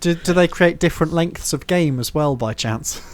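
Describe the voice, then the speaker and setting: A man's voice: on the high side, moderate pace. A man hosting a podcast in a casual, chatty style. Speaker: podcast host. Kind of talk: casual chat.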